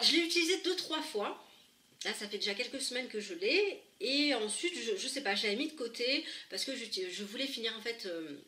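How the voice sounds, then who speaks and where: Woman with a cold, bright voice. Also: medium pitch, a little hiss or hum. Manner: hesitant, many fillers and restarts. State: congested with a head cold. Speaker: beauty vlogger. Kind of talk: casual makeup tutorial. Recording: echoey room, camera a bit far.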